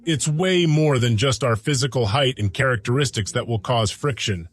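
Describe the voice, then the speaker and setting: A man acts out an ancient alien god, brooding and lovestruck, in an audiodrama. His medium-pitched male voice is solemn and formal, with measured phrases and weighty pauses. Music plays behind him as he narrates in the first person. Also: lightly acted.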